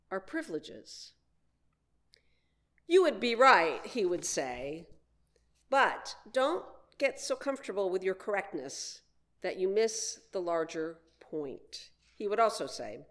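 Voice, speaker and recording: A woman minister delivering a sermon in deliberate phrases, with frequent pauses. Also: mid-range voice. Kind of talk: sermon with read quotations.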